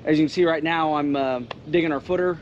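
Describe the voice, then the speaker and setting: A man's voice: steady tone, fast talker, muffled recording. A man, a builder casually explaining his work outdoors. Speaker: grain bin builder. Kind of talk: casual explaining on a job site. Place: outdoors on a farm building site. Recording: clear outdoor machinery rumble and noise.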